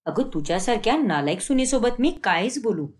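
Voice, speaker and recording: A woman's voice: fairly high pitch, big pitch swings, fairly quick. A woman voicing a vain, defiant middle-aged mother-in-law in a cartoon story, boastful and argumentative. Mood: indignant.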